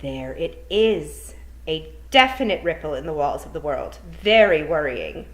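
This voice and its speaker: Woman reading a stern storybook witch, brisk, matter-of-fact, lowish voice, a bit louder than her narration. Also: very animated, rough recording. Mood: worried. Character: stern travelling witch, a woman sure of herself.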